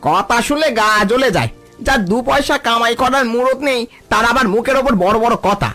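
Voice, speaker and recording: A man's voice: extremely high pitch, brisk, bright, forceful tone, faint music behind, full character voice. A man playing an arrogant, scornful rich village bully in a cartoon, with a haughty, scolding manner. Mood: scornful, boastful. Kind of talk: cartoon voice acting.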